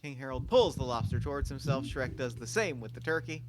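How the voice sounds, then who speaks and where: A man's voice: medium pitch, a little fast. A man narrating scripted stage directions at a comedic table read.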